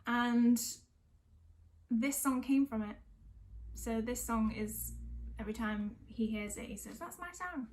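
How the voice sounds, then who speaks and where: A woman's voice: a little high, measured pace, darkish tone, slight background noise. Woman singer-songwriter chatting informally. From North West of England. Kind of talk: casual chat between songs.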